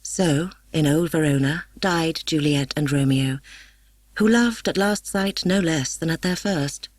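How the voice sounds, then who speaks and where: Woman audiobook narrator, husky voice, solemn n expressive, very dry close studio sound. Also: low voice, unhurried, no echo. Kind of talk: dramatic scripted story narration. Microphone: close studio microphone, dry.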